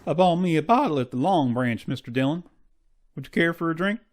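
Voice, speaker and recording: A man's voice: fairly high pitch, lively intonation, fairly quick, a little hiss or hum, close to an everyday voice. A man playing a folksy, deferential deputy in a Western radio drama, with drawling phrases. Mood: wistful. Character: folksy, deferential male deputy in the Old West.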